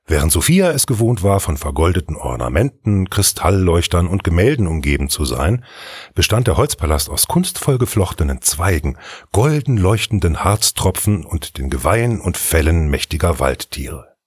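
A man with a husky voice narrating a scripted fairy tale in an expressive read-aloud style. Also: low voice, normal speed, no echo, clean studio sound. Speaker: storyteller, narrator.

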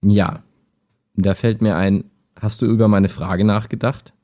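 A man's voice: lowish voice, steady tone, unhurried, warm tone, close to an everyday voice. A man playing a skeptical, wistful chatbot that talks like a human in an intimate radio play, understated and hesitant. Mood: tentative, wry.

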